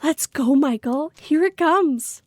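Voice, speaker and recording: Woman acting an eager, high-voiced female. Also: slow pace, no room sound, clean studio sound. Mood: teasing, excited. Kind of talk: acted story dialogue.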